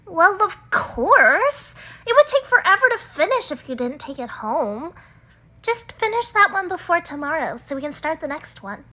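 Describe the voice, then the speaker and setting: A woman's voice: very high-pitched, sing-song, moderate pace, pushed and bright, exaggerated voice. A woman voicing a feisty, defensive teenage schoolgirl in an anime visual novel fan dub, huffy, flustered and bossy. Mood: bossy, eager.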